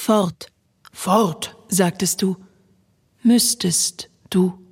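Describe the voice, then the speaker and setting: A woman with a husky, breathy voice reciting fragmented literary phrases, partly whispered. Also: medium pitch, very slow. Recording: long reverb on voice.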